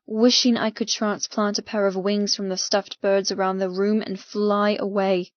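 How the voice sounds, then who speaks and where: A woman's voice: medium pitch, dry recording, muffled recording. Dramatic woman audiobook narrator, dry sound. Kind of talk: scripted first-person fiction narration.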